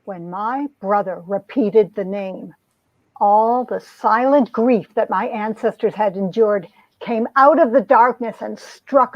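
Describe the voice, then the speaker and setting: A woman's voice: mid-range voice, no echo, lightly acted. A woman playing a wounded, proud young heroine, narrating and acting out a romance comic with earnest melodrama. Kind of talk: dramatic reading of a 1950s romance comic, narration and dialogue. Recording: dull, lo-fi sound.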